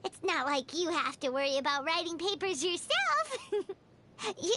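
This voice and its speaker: A tiny fairy sidekick from a video game, with an extremely high, squeaky child's voice. She is bubbly and excitable, swooping animatedly through exclamations about food and fun, over clearly noisy audio. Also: normal speed, full character voice. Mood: cheery. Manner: speaks of self in third person, exclaiming, bubbly. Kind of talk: video game character dialogue.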